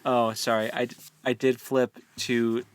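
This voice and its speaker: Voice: mid-range voice, flat delivery, measured pace, clear, resonant tone. A playful, teasing co-host of a book podcast, chatting casually. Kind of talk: casual book-club chat.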